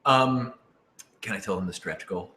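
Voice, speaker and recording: A man's voice: fairly low pitch, normal speed, bright, forceful tone. A man on a show's panel, bantering casually and playfully in short interjections. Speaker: show cast member and panelist. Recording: echoey room with background noise.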